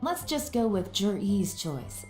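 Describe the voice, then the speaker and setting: A woman voicing a cool, shrewd investigator in a video game, measured, self-assured and in command. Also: mid-range voice, big pitch swings, darkish tone. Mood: smooth, polite. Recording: roomy echo, faint music behind.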